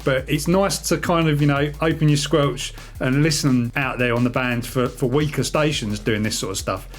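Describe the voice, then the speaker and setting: A man talks casually as a hobbyist video host, in a mid-range voice. His delivery is relaxed and matter-of-fact, like an informal demonstration. Faint music plays behind him. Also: medium pitch, moderate pace.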